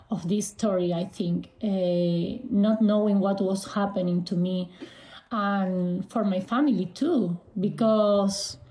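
A woman from Argentina with an Argentine Spanish accent gives a personal account as an interview guest, phrasing things carefully and haltingly. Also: medium pitch, warm tone. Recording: roomy, echoing sound.

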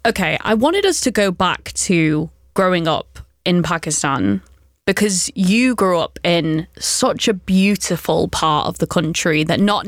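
Female host, lower register, conversational interview style. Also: no echo, studio quality. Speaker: podcast or radio host.

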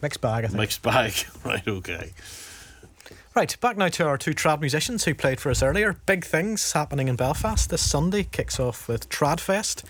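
A man presenting live from a studio, relaxed and chatty between items. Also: on the high side, moderate pace. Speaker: news presenter.

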